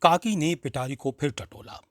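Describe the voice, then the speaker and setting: A man narrating a literary story in a measured, expressive, dramatic reading style. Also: a little high, no room sound, clean studio sound.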